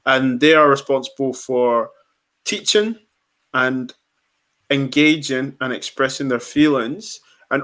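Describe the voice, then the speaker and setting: A man's voice: mid-range voice, fairly bright tone. A man hosting a podcast, interviewing guests in a direct way with lots of fillers and repeated words. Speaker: podcast host.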